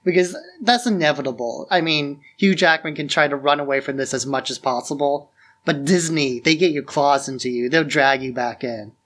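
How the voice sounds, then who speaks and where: A man's voice: a little high, moderate pace, fairly bright tone. A man co-hosting a podcast in casual, informal conversation, sharing firm opinions. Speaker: podcast co-host. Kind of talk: casual film discussion chat.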